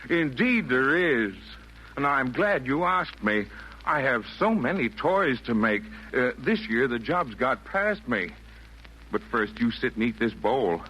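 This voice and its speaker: A man's voice: mid-range voice, sharp tone. An actor in a 1940s radio play voicing a kindly, jolly old man with genial, lilting, theatrical delivery of rhymed verse. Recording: noisy vintage 1940s radio broadcast.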